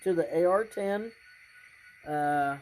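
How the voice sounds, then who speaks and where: A hobbyist presenter giving a casual, unscripted demonstration in a halting, rambling way, often clearing the throat. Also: mid-range voice, darkish tone, a little hiss or hum, rough recording. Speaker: hobbyist presenter showing a model train layout.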